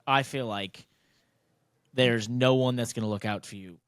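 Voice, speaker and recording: A man's voice: medium pitch, fairly even intonation, a little slow, clean tone, no room sound, studio quality. An American man hosting a casual sports podcast, talking bluntly and emphatically in long, rambling turns. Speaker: podcast host, racing driver.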